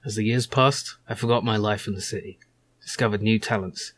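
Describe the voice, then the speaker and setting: A man's voice: fairly low pitch, brisk, natural voice. A man narrating as a young male survivor telling his own story in a wry, reflective storybook style.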